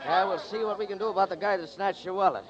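A commanding street cop pushes onlookers back with short orders, voiced by an actor in a 1940s radio play. His voice sits fairly high. Crowd noise fills the background, and the broadcast sound is dull. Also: fairly even intonation, natural voice. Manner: brisk, commanding.

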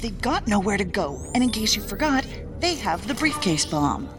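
An actress playing a wary, sharp-tongued federal agent in an audio drama, pointed and argumentative. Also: female voice, mid-range voice, very animated, normal speed, over music, natural voice. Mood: worried, firm.

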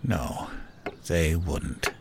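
A man playing a gentle, bereaved elderly husband and father in a horror audio drama, hesitant and pleading. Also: extremely low pitch, drawn-out words, gravelly, very noisy, full character voice. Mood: shaken, restrained.

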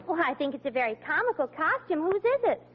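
An actress playing a sweet, doting young girlfriend in 1940s radio comedy, gently chiding and worried. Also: sits high, big pitch swings, quick pace. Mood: surprised. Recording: dull old radio recording, audience, noise.

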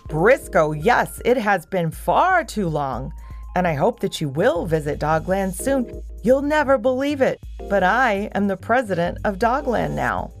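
A woman's voice: mid-range voice, sing-song, measured pace, soft background music. Woman voicing an eager, earnest dog. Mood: earnest, friendly. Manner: exclaiming, earnest. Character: eager, polite male dog, president of Dogland. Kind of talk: children's audio story character voice.

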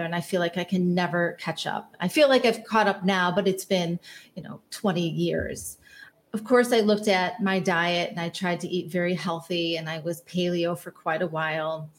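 A woman's voice: fairly low pitch, slight background noise. A woman in her forties, from the Chicago area, talking openly about her own story as an interview guest. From North Shore of Chicago. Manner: open, talkative, storytelling. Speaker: health coach guest. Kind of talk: casual interview.

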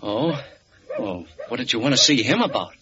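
An actor playing a slight, nervous secretary to a crook in a 1940s radio serial. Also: male voice, medium pitch, very animated, normal speed, crowd noise, close to an everyday voice. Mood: questioning. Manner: nervous, fretful. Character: slight, bald male secretary to a crook, anxious. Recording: dull old radio recording.

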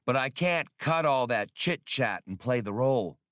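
A man's voice: medium pitch, animated, normal speed, a bit bright, no echo, studio quality, close to an everyday voice. A man voicing a cocky, plain-spoken space smuggler pilot in an audiobook, slangy and brusque. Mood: dismissive.

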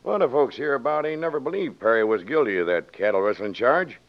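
Cautious frontier lawman played by a man, homespun Western speech, expressive pitch, dull vintage radio sound. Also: fast talker, natural voice. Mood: affable, probing. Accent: American Western. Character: folksy, cautious small-town western sheriff. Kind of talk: old-time radio western acting. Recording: muffled old radio recording.

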